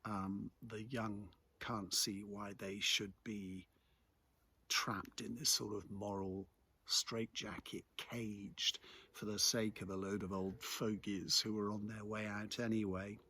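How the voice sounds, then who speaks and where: A middle-aged English man giving a reflective spoken monologue in a measured, conversational, musing way. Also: fairly low pitch, little pitch movement, sharp tone, breathy edge. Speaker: local commentator and host.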